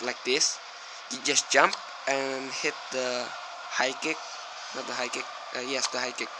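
A young man from Indonesia commentating a mobile soccer game at home, rambling and easily distracted, bursting out loud at big plays. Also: medium pitch. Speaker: small gaming YouTuber. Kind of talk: casual mobile gameplay commentary. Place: at home with fireworks outside. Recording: loud background noise, clicks. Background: fireworks bangs from the neighborhood.

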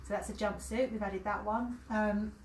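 A middle-aged British woman chats her way through a live sewing show, her voice going hoarse. Her pitch sits in a middle range. The room is echoey, with a faint hiss behind her. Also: fairly even intonation, unhurried. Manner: chatty, warm-hearted and laughing between remarks. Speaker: sewing teacher and host. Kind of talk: casual live-stream chat. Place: hexagon-shaped sewing room, indoors.

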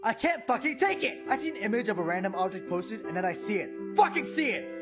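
A woman's voice: mid-range voice, sing-song, fast. A woman in a frantic, exasperated, sweary comedic rant. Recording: loud music behind the voice.

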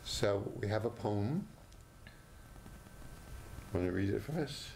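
Older male host, hoarse and deep, hesitant asides, faint room hiss from a studio mic. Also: lively intonation, takes it slowly, darkish tone. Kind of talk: casual studio chat. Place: small TV studio, indoors.

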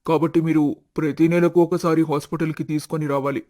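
A man voicing a composed, reassuring doctor in an animated family story, matter-of-fact in manner. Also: a little high, brisk, lightly acted.

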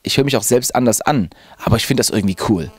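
A man's voice: medium pitch, quick pace, no echo. A man in his thirties, a radio presenter, talking casually and enthusiastically into a handheld microphone in a radio studio. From Zwickau, Saxony, Germany. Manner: lively, chatty, enthusiastic. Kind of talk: casual personal account to camera. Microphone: handheld reporter mic.

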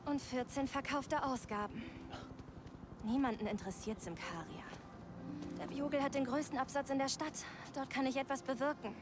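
A woman's voice: high-pitched, normal speed, noisy background, lightly acted. A woman voicing an earnest, driven young female journalist in a dubbed video game. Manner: serious, thoughtful game dialogue. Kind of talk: German game dub.

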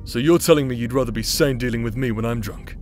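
A man's voice: mid-range voice, fast talker, darkish tone, faint music behind, close to an everyday voice. A man playing a cocky, teasing adventurer in an audio roleplay, with a drawling, playful manner. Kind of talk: dramatic audio roleplay acting.

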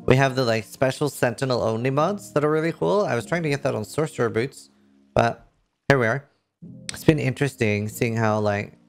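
A man in his thirties with a Norwegian accent, a streamer chatting casually and enthusiastically to camera about a video game he loves. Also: medium pitch. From Norway. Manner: enthusiastic, rambling, lots of fillers. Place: indoor streaming room.

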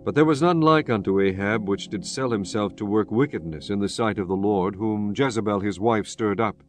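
Guy reading bible narration, kinda low voice, solemn and formal, faint music under it. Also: normal speed. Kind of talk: scripted dramatized Bible narration.

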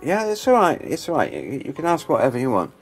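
A man playing a grieving man who lost his partner, hesitant and stammering, trailing off quietly. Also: mid-range voice, wildly swooping pitch, quick pace, faint music behind. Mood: resigned. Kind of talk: radio play acting.

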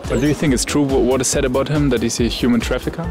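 A man's voice: mid-range voice, steady tone, rapid-fire, music in the background. A man in his twenties asking questions as a street interviewer outdoors. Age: 20s. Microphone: handheld microphone.

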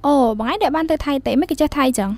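Woman acting a high, very fast student. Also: lively intonation, darkish tone, no echo, studio quality. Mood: curious, chatty. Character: young female media student, curious and chatty. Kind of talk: radio drama acting.

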